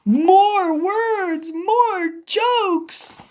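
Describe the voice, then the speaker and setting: A man's voice: extremely high pitch, extremely slow, voice pushed up, exaggerated voice. Man doing a naive, anxious storybook elephant, drawn out. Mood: panicked. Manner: wondering, earnest, easily astonished. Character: big, naive, anxious male elephant from a picture book. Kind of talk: animated children's read-aloud.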